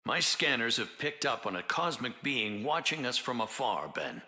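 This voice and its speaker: A man playing a brainy, composed superhero team leader in a video game, speaking in a measured, formal, articulate way. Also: mid-range voice, sing-song, pushed and bright. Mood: composed, analytical. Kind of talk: video game hero banter.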